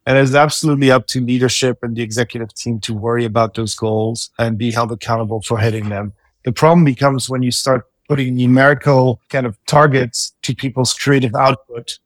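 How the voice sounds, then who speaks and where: A man's voice: medium pitch, no room sound. A man who speaks English as a second language, co-hosting a casual podcast chat and talking emphatically, with some swearing. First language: not English. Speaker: podcast co-host.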